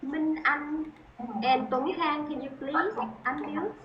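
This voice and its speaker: High-voiced woman. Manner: slow, careful name calling. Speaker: teaching assistant. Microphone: video call. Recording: echoey, dull, noisy call audio.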